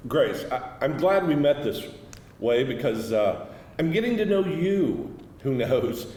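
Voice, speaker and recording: A man playing a gentle, smitten big teddy bear of a man in a live screenplay reading. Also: medium pitch, big pitch swings, a little slow. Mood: reassuring. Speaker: actor in a live table read. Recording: echoey hall, distant mic.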